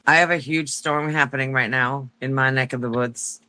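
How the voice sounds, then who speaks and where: A man's voice: medium pitch, steady tone, normal speed, pushed and bright, slight background noise. A man joining a live stream as a guest, chatting casually and repeating the host's words back. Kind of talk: casual chat.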